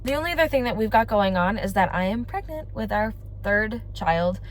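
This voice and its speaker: A woman's voice: medium pitch, lively intonation, a little fast, slight background noise. A young woman with a congested voice, chatting casually and rambling to her vlog audience. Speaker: family vlogger. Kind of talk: casual chat to camera.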